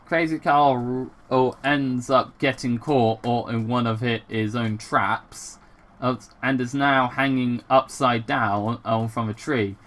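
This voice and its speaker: A person casually reading fan fiction aloud with commentary, halting and repeating words, with some swearing. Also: mid-range voice, steady tone, a bit bright. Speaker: gaming channel host reading fan fiction.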